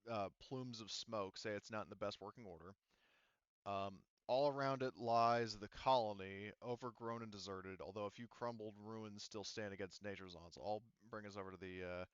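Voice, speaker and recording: A man's voice: on the low side, fairly bright tone, clean tone, dry recording. A man narrating as game master for a tabletop game, rambling with many fillers and restarts, heard over dull, lo-fi call audio.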